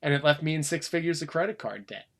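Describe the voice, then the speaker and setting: A man's voice: on the high side, lively intonation, fast talker, fairly bright tone. An American man speaking as a podcast guest and coach, candid and colloquial as he explains things. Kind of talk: casual conversational storytelling.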